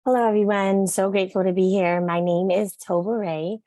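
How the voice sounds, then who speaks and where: A woman's voice: medium pitch, fairly even intonation, moderate pace, dark tone, dry recording. A woman from Nigeria introducing herself and her business on a panel, upbeat, with um fillers. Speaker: panelist, floral business owner.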